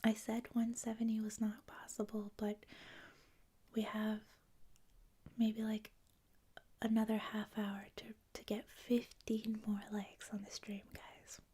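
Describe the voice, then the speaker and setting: A woman with a Canadian accent, a native French speaker, hosting a livestream in a hushed, whispery ASMR style, chatting casually with viewers. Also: mid-range voice, monotone, measured pace, breathy edge. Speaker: livestream host. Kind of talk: soft-spoken ASMR, casual chat with viewers.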